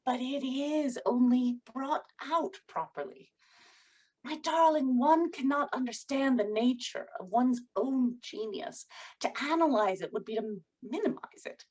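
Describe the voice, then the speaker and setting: A poised, controlling wife in a literary period drama, acted by a woman. Her voice sits fairly high with a husky edge. She phrases things formally and persuasively, always genteel. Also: measured pace, a little mellow, rough recording. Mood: soothing, coaxing. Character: Juliana, poised, controlling, coaxing wife of a writer. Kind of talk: screenplay table read, period literary drama.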